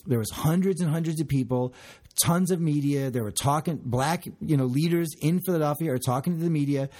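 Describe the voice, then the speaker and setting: A man co-hosting a discussion, talking passionately and emphatically, with a loud voice. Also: fairly high pitch, a little fast. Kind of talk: casual discussion.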